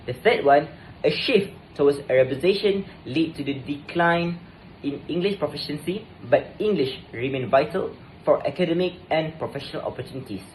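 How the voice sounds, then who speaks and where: A man's voice: on the high side, a little mellow, slight background noise, rough recording. A young man presenting a student assignment, reading formally with a few stumbles and fillers. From Malaysia. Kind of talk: scripted presentation.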